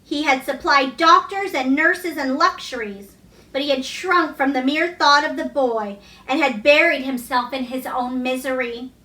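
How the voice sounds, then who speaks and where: A woman reads a classic story aloud in a bright, high voice. The room echoes noticeably around her narration. It is storybook reading, line by line from the page. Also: a little slow. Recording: echoey room, distant mic.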